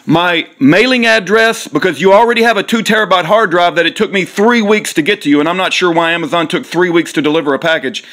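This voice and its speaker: High-pitched stern man. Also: fast, hardly any pauses, a bit bright. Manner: stern, accusatory, emphatic. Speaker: caller leaving a voicemail. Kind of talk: formal complaint left by voicemail.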